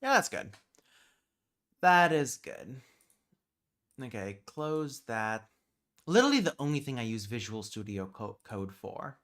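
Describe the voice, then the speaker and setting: A man's voice: medium pitch, very animated, unhurried, long pauses, a bit bright. A man hosting a casual livestream, muttering and thinking aloud as he works, and easily exasperated. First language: British Sign Language. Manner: easily exasperated, swears under breath. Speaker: livestream host.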